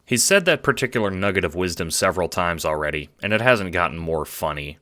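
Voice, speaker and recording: Male narrator, audio drama: low, bright and forceful, wry dramatic storyteller. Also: animated, a little fast. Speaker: narrator and producer. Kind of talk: scripted second-person audio drama narration.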